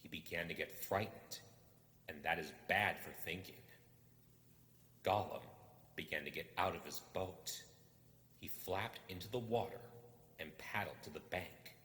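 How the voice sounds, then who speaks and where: A man reading a story aloud as dramatic narration, expressive and suspenseful. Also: low-pitched, unhurried, long pauses. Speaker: amateur audiobook reader. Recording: echoey hall-like reverb.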